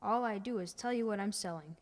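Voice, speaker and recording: A woman's voice: medium pitch, a little fast, close to an everyday voice. In a reverberant hall, a woman plays a small street urchin boy in an old-time radio comedy. The kid is a persistent little salesman, matter-of-fact and pleading. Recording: reverberant hall stage recording.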